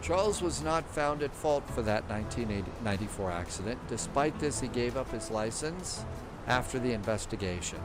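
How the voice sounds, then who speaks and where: A man's voice: mid-range voice, animated, normal speed. A man hosting a live flight-sim stream, reading viewer comments and rambling in a casual, thinking-aloud way. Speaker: livestream host. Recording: clear background music.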